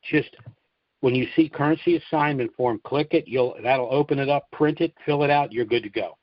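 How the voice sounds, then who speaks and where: A man's voice: mid-range voice, normal speed, dry recording. A man hosting a conference call, answering callers with informal, instructive advice and repeating his points emphatically. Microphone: conference call line. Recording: dull, lo-fi conference call audio.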